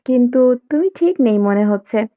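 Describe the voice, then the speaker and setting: A woman voicing a calm, teasing young male pop idol in a romance story, soft and amused. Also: a little high, normal speed, no echo, narrow, tinny audio, natural voice. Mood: playful.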